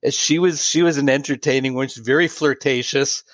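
A man in his late sixties telling a personal story in an interview, pausing and restarting often. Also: medium pitch, fairly even intonation, no echo. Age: 60s. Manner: warm, halting anecdotal storytelling with many restarts. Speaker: guest.

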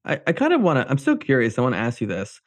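A man's voice: mid-range voice, wildly swooping pitch, rapid-fire, no room sound. A man from New Jersey hosting a casual interview, informal and curious, rambling into his questions. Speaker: podcast host.

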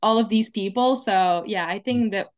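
A woman's voice: medium pitch, moderate pace. A young woman from Quebec whose first language is French, speaking English with a Quebec French accent as an interview guest, chatting casually with lots of "like" and "kinda". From Quebec, Canada. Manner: chatty, many "like" and "kinda" fillers. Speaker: guest, dancer.

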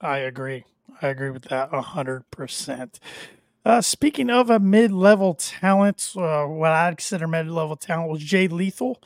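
A man's voice: on the high side, moderate pace, no echo, studio quality. A man co-hosting a wrestling review podcast, talking casually and a little nasally because he is fighting a cold. He rambles with filler words and restarts. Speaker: podcast co-host.

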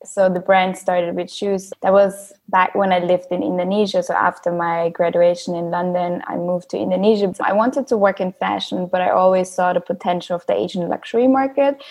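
Young woman from austria chatting about her work, bit of a low voice, lots of um and you know. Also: fairly even intonation, moderate pace. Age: young adult. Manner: chatty, loose, frequent fillers like "you know" and "um". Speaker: guest, handbag designer. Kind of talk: casual interview answers.

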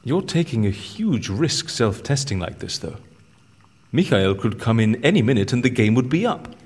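An actor playing a cheeky, scheming con artist in an audio drama, with a wry, persuasive way of talking. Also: male voice, mid-range voice, big pitch swings, normal speed, darkish tone, husky. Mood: teasing, curious.

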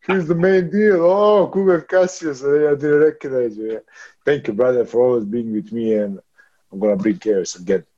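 A man's voice: medium pitch, moderate pace, mellow, lo-fi sound. Swearing man in his thirties, laughing often. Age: 30s. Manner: rough, swearing, laughs often, calls others brother. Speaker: boxer. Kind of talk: casual interview.

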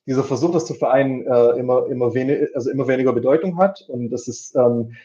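A man explains his views in a loose, unscripted conversation, pausing often and circling back over his words. His voice is mellow and dark. The sound is dull and lo-fi. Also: mid-range voice. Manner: hesitant, many fillers and restarts. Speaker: guest. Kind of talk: casual political discussion.

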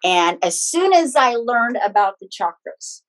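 A woman's voice: a little high, big pitch swings, unhurried, rough recording. A middle-aged woman from the Philippines, a podcast guest speaking casually and frankly about her own life in personal anecdotes. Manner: chatty, frank, personal anecdotes with small laughs. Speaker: guest, spiritual teacher and author. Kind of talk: casual conversational storytelling.

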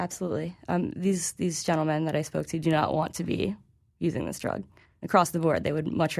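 A woman's voice: sits low, gravelly, a little mellow. A woman reporter speaking as an interview guest, explaining informally in a hesitant, conversational way.

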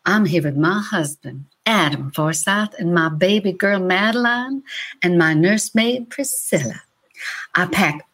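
Woman as a husky, secretive young wife. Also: lowish voice, a bit bright, heavily acted. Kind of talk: amateur dramatic reading at a launch party.

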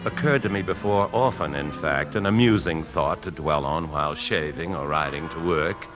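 An actor playing a weary, tempted bank manager who narrates in a measured, introspective way in a 1950s radio drama. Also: male voice, very deep voice, music in the background. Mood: brooding. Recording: 1950s radio broadcast recording.

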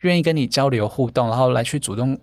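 A man with a Taiwanese Mandarin accent, a podcast guest who explains eagerly and keeps affirming with quick repeated words. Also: medium pitch, dry recording. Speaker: guest, founder of dating events. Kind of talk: podcast interview.

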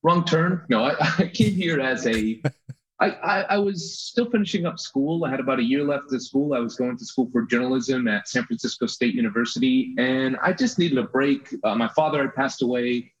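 Husky American man, lo-fi sound. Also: medium pitch, moderate pace. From USA. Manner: relaxed storytelling with frequent restarts and soft laughs. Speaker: guest photographer. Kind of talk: casual interview chat. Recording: dull lo-fi audio.

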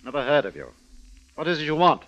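Wealthy male invalid: curt, imperious, guarded, a little high, dull vintage radio sound. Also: big pitch swings, quick pace, a bit bright. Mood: haughty, suspicious. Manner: brusque, haughty, formal. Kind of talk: 1940s radio drama acting. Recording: muffled vintage radio recording.

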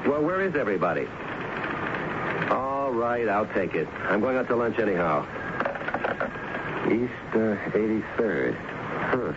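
An actor playing a dogged, matter-of-fact police lieutenant in a radio drama, dry and probing in manner. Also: male voice, fairly low pitch, very animated, measured pace, pauses often. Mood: businesslike, offhand. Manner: dry, probing, casual hesitations. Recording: muffled, very noisy old radio recording.

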